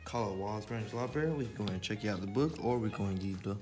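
A man's voice: lowish voice, expressive, rapid-fire, darkish tone, faint music behind. A man hosting a library story time, presenting to children in the manner of a read-aloud and simple how-to.

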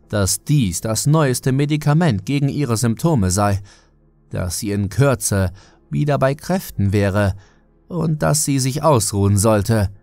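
A man's voice: fairly low pitch, a little mellow, dry recording. A man reading a scripted first-person horror story as an audiobook narrator. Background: music.

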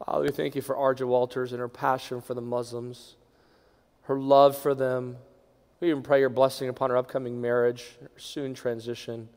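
A middle-aged man, a pastor leading a congregation in prayer from a lectern, moving between hushed, near-whispered phrases and strong, raised proclamation. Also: medium pitch, flat delivery, moderate pace. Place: large church sanctuary. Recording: long church reverb.

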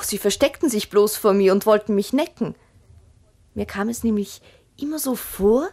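A woman's voice: a little high, a little mellow. An actress playing an innocent, earnest young farm girl, telling her story simply and childlike in a 1960s German radio play.

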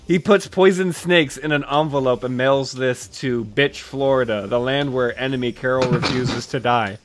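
A young man reading comedy scripts aloud casually and deadpan, sometimes close to giggling. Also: medium pitch, moderate pace. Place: small dim room, indoors. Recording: home microphone with background noise, clicks.

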